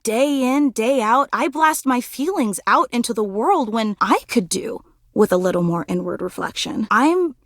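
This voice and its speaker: High, swooping, excitable young woman. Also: unhurried, no room sound, clean studio sound. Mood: earnest, tender. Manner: dramatic, gushing, casual youthful slang. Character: excitable, starstruck female pedestal fan, gushing superfan turned introspective. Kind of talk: video game voice acting, romance dialogue.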